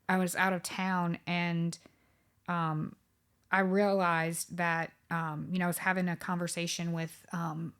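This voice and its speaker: A woman's voice: on the low side, smooth. A woman in her thirties hosting a podcast, talking reflectively in casual chat with many fillers and restarts. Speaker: podcast host.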